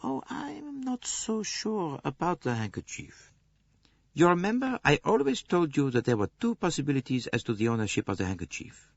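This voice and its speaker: A man playing a polite, self-assured detective in an audiobook, with a soft, courteous and insinuating manner. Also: a little high, huge pitch swings, normal speed, gravelly, no room sound, lo-fi sound, voice pushed up, heavily acted. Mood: gently doubting. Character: polite, probing male detective, quietly doubting and self-assured.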